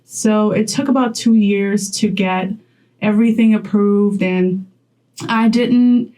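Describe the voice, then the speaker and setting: A woman's voice: medium pitch, fairly even intonation, slow, warm tone, low-quality recording. A young woman from Poland talking straight to camera in a chatty vlog, laughing often as she gives advice. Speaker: content creator.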